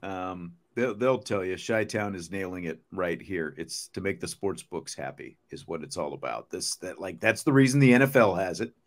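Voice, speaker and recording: A man's voice: low voice, animated, normal speed, few pauses. A middle-aged American man hosting a sports talk show, speaking emphatically and repeating points to drive them home.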